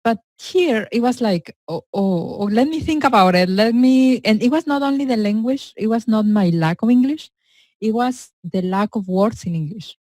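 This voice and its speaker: A woman whose first language is Spanish, joining in a lively discussion with halting phrasing. Her voice is mellow and warm, recorded close and dry. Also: fairly high pitch, dry recording. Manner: animated, laughing often, halting phrasing. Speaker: co-host. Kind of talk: conversational discussion.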